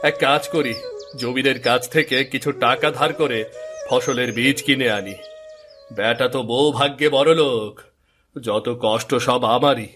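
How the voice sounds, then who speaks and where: An actor voicing a bitter, self-pitying village farmer in a moral-story cartoon, dramatic and complaining. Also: male voice, mid-range voice, fairly even intonation, a little slow, a bit bright, soft background music. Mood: resigned, grumbling.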